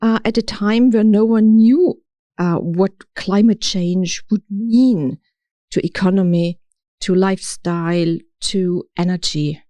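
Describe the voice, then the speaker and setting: A middle-aged woman from Austria with an Austrian accent, telling her story as an interview guest, halting now and then as she searches for words in English. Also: mid-range voice, dark tone, dry recording. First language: German. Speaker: podcast guest, city official. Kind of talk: conversational interview, personal storytelling.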